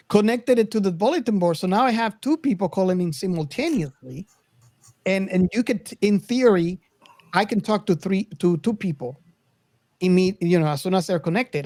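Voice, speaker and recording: A man's voice: high-pitched, darkish tone, no room sound. Man chatting casually, very dry sound. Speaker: podcast co-host. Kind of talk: casual chat, reminiscing.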